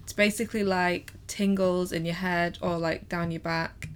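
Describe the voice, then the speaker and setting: A woman's voice: fairly low pitch, steady tone, moderate pace, clean tone. A young woman co-hosting a podcast, chatting casually and giggling often, self-deprecating about her own speech. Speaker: podcast co-host. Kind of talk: casual chat.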